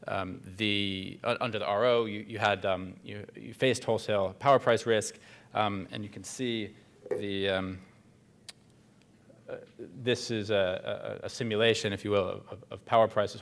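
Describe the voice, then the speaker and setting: A man giving a conference presentation as an economic consultant, speaking haltingly with many fillers and restarts. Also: on the low side, fairly bright tone. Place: conference hall.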